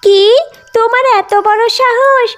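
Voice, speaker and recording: An indignant vixen wife from a cartoon, performed by a woman. She scolds and accuses in a very high voice. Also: slow, a bit bright, full character voice. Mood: outraged.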